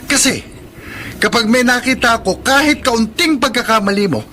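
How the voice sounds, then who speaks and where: An actor playing a haughty, jealous young son, speaking emphatically in a Tagalog radio drama. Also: male voice, extremely high pitch, moderate pace, sharp tone, a little hiss or hum. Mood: menacing. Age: young adult. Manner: confrontational, emphatic.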